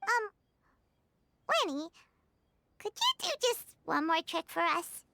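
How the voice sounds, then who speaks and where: A woman voicing a tiny childlike fairy companion in a video game, squeaky, excitable, pouty and nosy, full of exclamations. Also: slow pace, creaky, background noise, full character voice. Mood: excited, eager. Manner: refers to self in third person, exclaiming. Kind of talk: scripted video game dialogue acting. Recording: loud music behind the voice. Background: loud game music.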